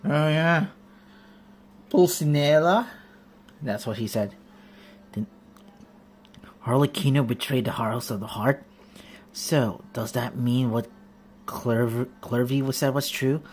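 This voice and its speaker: Very low, slow-paced woman streaming. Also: darkish tone. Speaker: game streamer. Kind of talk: reading game dialogue and commenting. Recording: noisy phone livestream.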